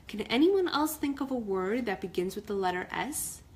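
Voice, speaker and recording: A woman's voice: medium pitch, animated, normal speed, natural voice. A woman voicing a patient, encouraging female bear schoolteacher in a storybook.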